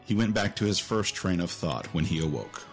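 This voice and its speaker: A man's voice: deep voice, animated, fairly quick. A man narrating a scripted fantasy story as the narrator of an audio drama. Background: music.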